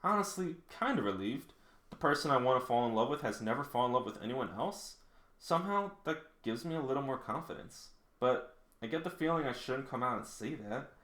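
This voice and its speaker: A man's voice: mid-range voice, animated, brisk, fairly bright tone, voice pushed up, natural voice. A man reading the part of an earnest, self-conscious, lovestruck teenage boy from a manga. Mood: relieved, shy. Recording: roomy, echoing indoor sound.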